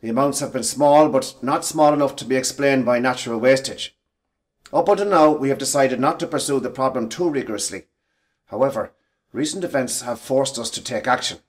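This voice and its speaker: A male actor as a stern company chief in a radio drama. His mid-pitched voice is measured and formal, addressing workers with businesslike firmness. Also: natural voice. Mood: formal, firm.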